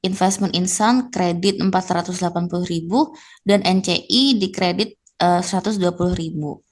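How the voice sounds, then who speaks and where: A young woman giving an explanatory class presentation as a student. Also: fairly low pitch. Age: young adult.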